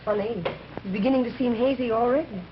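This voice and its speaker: An eager, entranced wife under a villain's spell, played by a woman in an old radio thriller. She speaks very quickly. The recording is muffled and clearly hissy. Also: on the high side, lightly acted.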